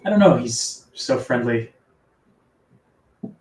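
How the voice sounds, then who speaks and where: A man's voice: medium pitch, lively intonation, slow pace. Sleepy-voiced man, roomy and distant. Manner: soft, sleepy-sounding delivery. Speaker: author guest. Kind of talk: casual live interview chat. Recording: echoey room, distant from mic.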